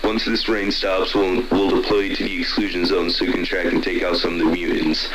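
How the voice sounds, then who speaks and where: Gruff army commander, acted by a man, deep voice, commanding and profane, horror audio drama, loud crowd-like noise behind. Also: level tone, brisk, close to an everyday voice, low-quality recording. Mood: resolute, businesslike. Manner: blunt, commanding, swearing. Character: gruff, determined military commander rallying troops to rescue a soldier. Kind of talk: radio message acting in audio horror.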